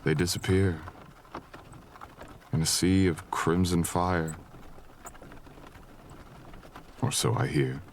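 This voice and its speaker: A man playing a mysterious, courteous wandering traveler in a soft-spoken romantic fantasy audio roleplay, with old-fashioned, teasing phrasing. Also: sits low, measured pace, a little hiss or hum. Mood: intriguing, low.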